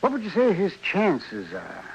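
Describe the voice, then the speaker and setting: An actor playing a shrewd frontier town doctor in an old radio western, dry and folksy. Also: male voice, high-pitched, wildly swooping pitch, measured pace, exaggerated voice. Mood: dry, probing. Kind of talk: old-time radio western drama acting. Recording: muffled, noisy old radio recording.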